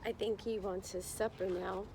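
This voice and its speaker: A woman's voice: medium pitch, fairly even intonation, moderate pace, vocal fry, background noise. A woman reading a picture book aloud to young children, expressively, with encouraging, chatty explanations along the way. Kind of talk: slow children's read-aloud with chatty asides to listeners.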